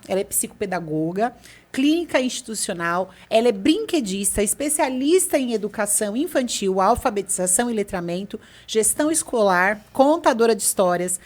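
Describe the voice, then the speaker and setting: A woman hosting a podcast in relaxed, casual chat. She talks in a bubbly, chatty way and laughs easily. Her voice sits a little high. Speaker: podcast host.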